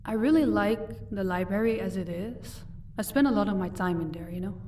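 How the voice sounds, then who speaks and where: A woman's voice: medium pitch, moderate pace, warm tone, a little hiss or hum, lightly acted. Woman acting composed female vampire, slightly distant. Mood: calm, affectionate. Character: commanding female vampire, protective wife, cold to captives. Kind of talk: audio roleplay acting.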